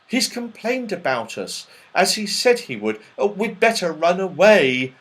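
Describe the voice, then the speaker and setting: Man as storybook little girl, raised pitch, worried, exclamatory, very varied intonation. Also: very animated, a little slow, a bit bright, full character voice. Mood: afraid. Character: anxious young girl in children's storybook.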